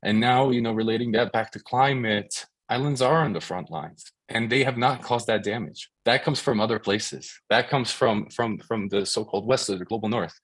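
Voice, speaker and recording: A man from the Czech Republic, a filmmaker speaking on an online panel, halting with many restarts and repeated words. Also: fairly low pitch, breathy edge, dry recording. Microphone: video call.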